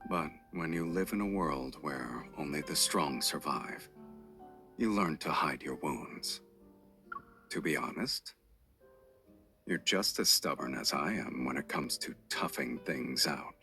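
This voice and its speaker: An actor playing a smug, protective game love interest who teases slowly and calmly. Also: male voice, very deep voice, faint music behind, heavily acted. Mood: teasing. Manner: teasing and unhurried.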